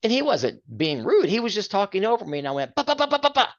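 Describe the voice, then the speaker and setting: A man's voice: high voice, big pitch swings, fast, lo-fi sound. Older man with a big, carrying voice. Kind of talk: casual chat.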